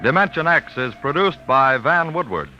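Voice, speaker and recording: A man announcing a 1950s radio programme, reading scripted credits in a formal, emphatic, measured style. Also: a little high, big pitch swings. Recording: dull vintage radio broadcast recording. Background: loud music.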